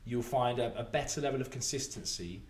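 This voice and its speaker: A man with a hoarse voice, chatting casually as a podcast co-host and laughing at himself. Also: mid-range voice, brisk. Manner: chatty, self-mocking, laughs easily. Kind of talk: casual chat.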